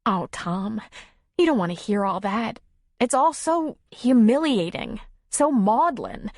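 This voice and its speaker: A woman voicing a tearful, timid young single mother who confides haltingly in an audiobook story. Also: a little high, big pitch swings, darkish tone, dry recording, clean studio sound, voice pushed up, heavily acted. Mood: confiding, vulnerable. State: tearful, sniffling.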